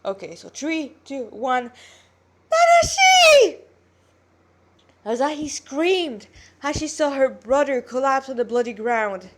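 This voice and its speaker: A woman with a fairly high voice reads fiction aloud into a tablet. She sounds tired but narrates with drama, in the informal way of an amateur storyteller. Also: measured pace, a little hiss or hum. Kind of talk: narrated story reading with character dialogue. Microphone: tablet recording.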